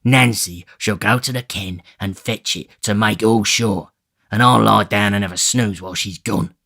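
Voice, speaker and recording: A man voicing a brutal, surly male housebreaker who growls his words gruffly and forcefully in a storybook reading. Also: on the low side, moderate pace, heavily acted. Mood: demanding. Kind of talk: audiobook character reading.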